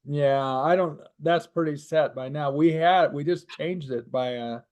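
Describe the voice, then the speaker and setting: A man who leads language teaching workshops, chatting casually on a call and talking haltingly. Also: on the high side, a little mellow, clean tone, lo-fi sound. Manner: halting, with many fillers and restarts. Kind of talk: casual chat on a video call.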